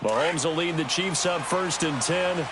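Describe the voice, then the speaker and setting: An actor working as an American play-by-play announcer in a football video game, calling the action in a polished broadcast style. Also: male voice, fairly high pitch, measured pace, lightly acted. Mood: steady, focused. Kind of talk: sports play-by-play commentary. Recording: game audio under loud stadium noise. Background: loud stadium ambience and game sounds.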